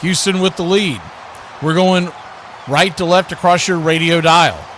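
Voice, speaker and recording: A man's voice: fairly high pitch, unhurried, a bit bright. A man doing live radio play-by-play commentary of a football game as the announcer. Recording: clearly noisy live broadcast.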